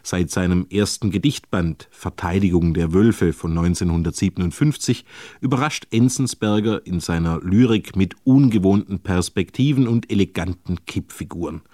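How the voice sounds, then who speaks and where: Very deep, mellow male radio announcer. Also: normal speed, no echo, studio quality. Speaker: radio announcer introducing a poetry reading. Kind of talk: scripted literary introduction.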